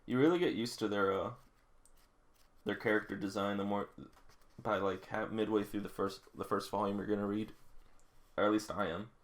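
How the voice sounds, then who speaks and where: A man's voice: on the low side, fairly even intonation, moderate pace, pauses often. A man casually reading a manga aloud and commenting as an amused, enthusiastic fan. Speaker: hobbyist reader commenting on a manga.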